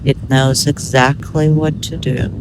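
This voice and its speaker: Slow-paced woman guiding meditation. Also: very deep voice, flat delivery, background noise. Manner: measured, instructional, with pauses between short phrases. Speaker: meditation guide. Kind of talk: guided meditation.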